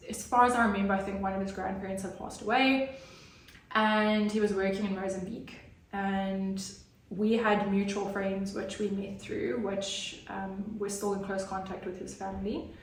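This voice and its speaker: A woman, telling her personal story as an interview guest in an earnest, hesitant way with many fillers. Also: medium pitch. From Zimbabwe. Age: 30s. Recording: roomy, distant mic.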